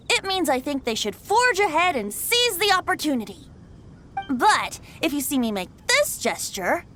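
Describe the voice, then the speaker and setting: An actor voicing a breezy, theatrical young male bard in a video game, smooth-talking and teasing. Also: very high-pitched, bright, forceful tone, exaggerated voice. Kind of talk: video game character acting.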